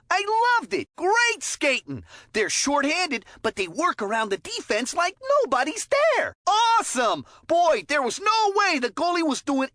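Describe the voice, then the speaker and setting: High, excitable cartoon hockey announcer. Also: female voice, dry recording, heavily acted. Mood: excited, gleeful. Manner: exclamatory, folksy, joking. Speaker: sports commentator. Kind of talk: scripted play-by-play game commentary.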